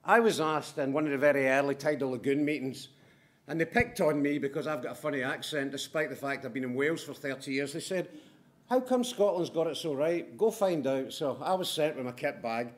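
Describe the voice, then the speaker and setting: A middle-aged man giving a conference presentation in brisk, punchy short statements with wry asides. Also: a little high. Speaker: conference speaker from a manufacturing firm.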